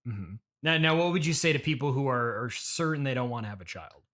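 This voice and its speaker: A man hosting a comedic interview, speaking in a hesitant, politely skeptical, deadpan way. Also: medium pitch, fast. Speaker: podcast host, interviewer.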